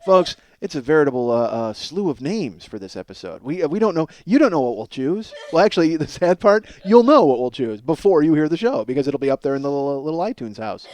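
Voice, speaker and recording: A man's voice: fairly high pitch, big pitch swings, fast talker, few pauses, darkish tone. A man hosting a comedy podcast in a rambling, self-mocking monologue, ranting with profane exasperation.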